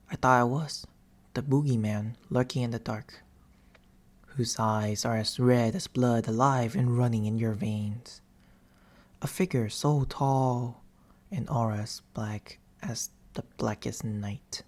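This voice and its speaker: An eerie male sleep paralysis demon, acted by a man, speaks right at the ear in an ASMR roleplay. The delivery is slow and intimate, slipping between soft mid-pitched speech and whispers. The demon is patient and coaxing, with a theatrical storyteller's phrasing. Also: natural voice. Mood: eerie, brooding. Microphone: close binaural microphone.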